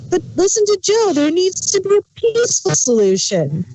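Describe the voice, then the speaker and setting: A woman's voice: very high voice, very animated, normal speed, warm tone, a little hiss or hum. A woman chiming in with casual banter.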